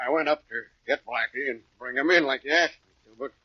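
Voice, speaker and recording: A man's voice: medium pitch, fast talker, breathy edge, no room sound, dull audio, close to an everyday voice. A man playing a tough, blunt police detective with slangy talk, in 1940s radio acting on an old recording. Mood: sheepish, sore. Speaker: actor in radio drama.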